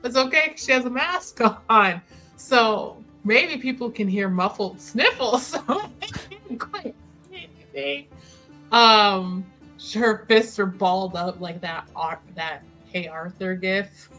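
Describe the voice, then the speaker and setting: Female tabletop player, bright clear-cut tone, leisurely rambling narration, casual and sweary, faint music underneath. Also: mid-range voice, lively intonation, takes it slowly, rough recording. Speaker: player in a tabletop roleplaying game. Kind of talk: casual improvised character narration.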